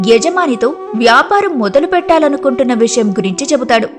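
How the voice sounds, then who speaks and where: A woman's voice: high voice, expressive, brisk, music in the background. A woman narrating a scripted moral story as a storyteller.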